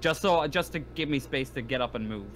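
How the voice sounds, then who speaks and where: A man playing a tabletop game, chatting casually in an animated, sweary way. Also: mid-range voice, fairly quick, slight background noise. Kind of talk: casual game chat over online session.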